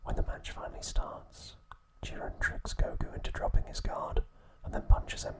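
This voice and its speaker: A man narrating an ASMR recap in a soft, breathy whisper, with measured delivery in short phrases. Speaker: ASMR video host.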